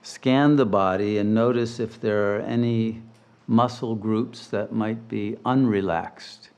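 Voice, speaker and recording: A man leading a guided meditation as a teacher, speaking in measured, deliberate, soothing phrases with long pauses. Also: on the low side, steady tone, a little mellow, smooth.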